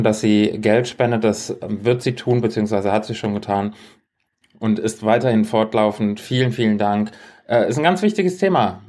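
A man's voice: fairly low pitch, dark tone. A man chatting casually and humorously as a podcast co-host, telling things in an earnest, wordy way.

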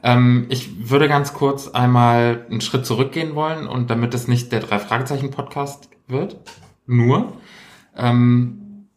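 A man's voice: mid-range voice, steady tone, unhurried, darkish tone. A man co-hosting a casual podcast chat, playful and teasing, with hesitant fillers. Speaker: podcast co-host. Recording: roomy echo.

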